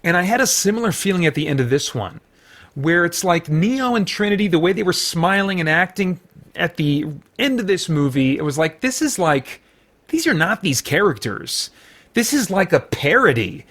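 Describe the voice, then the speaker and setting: Casting: American man, slightly high voice, hosting an off-the-cuff review, halting delivery that wanders as he thinks out loud. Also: big pitch swings. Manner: halting, rambling, thinking aloud. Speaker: review show host. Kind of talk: casual unscripted film review.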